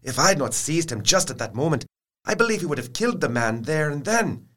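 An actor playing a dutiful asylum doctor, reading out a formal report in an orderly, matter-of-fact way for an audio drama. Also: male voice, on the high side, sing-song, fairly quick, a bit bright. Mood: urgent.

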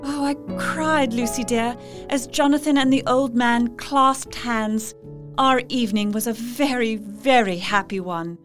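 A woman acts as a devoted young wife in a period audio drama, her voice on the high side. She reads her letters fondly and cheerfully in old-fashioned phrases, with music behind her. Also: measured pace, lightly acted. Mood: fond, delighted.

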